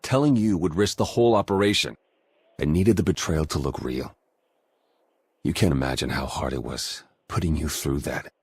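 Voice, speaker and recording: An actor playing an earnest undercover spy in a video game, husky-voiced, urgent and pleading. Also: male voice, extremely low pitch, normal speed, dry recording, full character voice. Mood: pleading, heartfelt. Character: earnest male spy working undercover among traitors. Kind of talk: dramatic video game acting.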